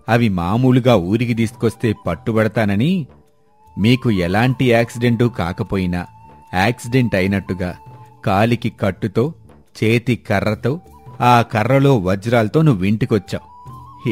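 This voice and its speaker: Man playing a measured, authoritative village headman. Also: fairly low pitch, darkish tone, soft background music. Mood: firm, accusing. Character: steady, shrewd village headman solving a theft. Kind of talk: acted dialogue in a moral story.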